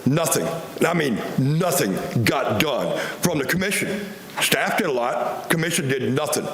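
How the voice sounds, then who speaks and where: A man with a big, loud voice, scolding a city commission during public comment in a council chamber. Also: on the high side, animated, a little slow. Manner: scolding, exasperated lecturing. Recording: roomy chamber with faint noise.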